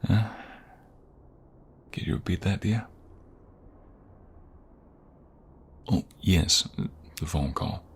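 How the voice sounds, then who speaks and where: Male actor as protective husband for ASMR drama: soft, reassuring, low and unhurried, faint background noise. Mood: tender, calm. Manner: soft, intimate, reassuring, often dropping to a whisper. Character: protective, tender husband on the run from the mob. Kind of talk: ASMR roleplay acting.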